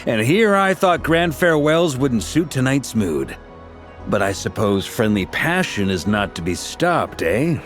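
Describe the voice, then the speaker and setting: An actor playing a jovial, easygoing adventurer in a video game, with a hearty, teasing, drawling manner. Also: male voice, mid-range voice, sing-song, measured pace, soft background music. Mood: amused, teasing. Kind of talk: video game cutscene voice acting.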